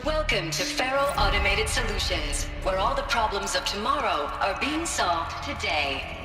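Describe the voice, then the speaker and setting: A woman's voice: medium pitch, sing-song, moderate pace, lightly acted. A slick female corporate announcer played by an actress presents proudly in a game. The voice rings with heavy echo from far off in a big hall. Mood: proud, polished. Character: polished corporate promotional announcer, upbeat and proud. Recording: echoey hall, distant, music behind.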